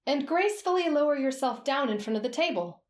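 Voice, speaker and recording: A woman speaks in a strict, teacherly manner for an ASMR roleplay. Her voice is high and lively, with a roomy echo around it. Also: brisk. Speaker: voice actor.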